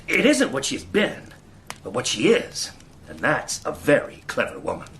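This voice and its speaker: An actor playing a shrewd, folksy fixer and spymaster, in a radio drama. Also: male voice, mid-range voice, wildly swooping pitch, normal speed, vocal fry, a bit bright, slight background noise, full character voice. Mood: wry.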